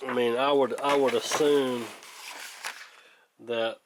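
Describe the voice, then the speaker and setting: A man giving casual hands-on workshop commentary, thinking aloud in a halting, plainspoken, folksy way, like a hobbyist hosting a repair video. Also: medium pitch, steady tone, background noise.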